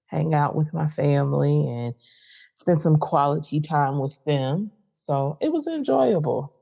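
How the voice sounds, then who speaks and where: A person in their forties co-hosting a podcast, upbeat and joking, with quick asides. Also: medium pitch, animated, vocal fry, warm tone, highs cut off. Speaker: podcast co-host. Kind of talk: casual chat.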